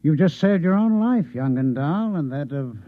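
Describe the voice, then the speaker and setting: Male actor for cold Martian infiltrator posing as reporter: formal, menacing, widely swinging pitch, narrow vintage radio sound. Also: expressive, dry recording, close to an everyday voice. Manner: formal, measured, quietly menacing. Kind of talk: golden-age radio drama acting. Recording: vintage radio broadcast, narrow phone-like band.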